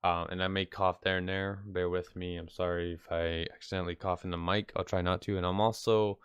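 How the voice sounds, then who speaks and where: A man with a slightly nasal, congested voice from being sick, rambling offhandedly through an unscripted software tutorial. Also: deep voice, little pitch movement, brisk, clear, resonant tone, no room sound, clean studio sound. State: sick with stuffy nose. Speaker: video tutorial host. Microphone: close mic, screen-recording voice-over.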